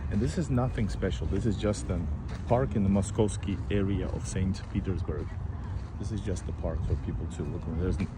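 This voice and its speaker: Deep-voiced man vlogging on a noisy street. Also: a little fast. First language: Russian. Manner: casual, rambling, chats with passersby and chat. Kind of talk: walking street vlog talking to viewers. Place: outdoors on city streets and in a park. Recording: loud street and traffic noise.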